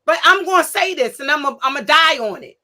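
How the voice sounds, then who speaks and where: A woman's voice: high-pitched, expressive, fairly quick, bright, forceful tone. A woman in a heated casual debate, talking insistently and emphatically, often restarting her sentences. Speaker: discussion participant.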